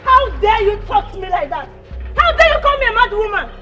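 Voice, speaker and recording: A woman voicing a furious, bossy middle-aged mother who shouts threats and acts haughty. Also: very high voice, sing-song, fairly quick, heavily acted. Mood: outraged. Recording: roomy echo with music behind.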